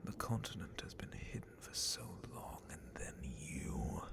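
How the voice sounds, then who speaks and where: A man playing a sadistic, haughty dragon captain of the guard in an ASMR roleplay, speaking with menacing contempt in a breathy, gravelly whisper. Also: extremely low pitch, big pitch swings, exaggerated voice. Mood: menacing, probing. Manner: menacing, contemptuous, drawn-out theatrical delivery.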